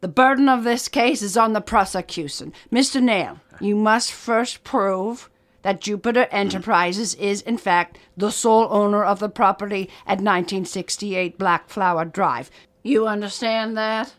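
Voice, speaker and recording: An actress playing a folksy, firm, no-nonsense small-town judge in an audio drama. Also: female voice, mid-range voice, a little slow, natural voice. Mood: stern, formal.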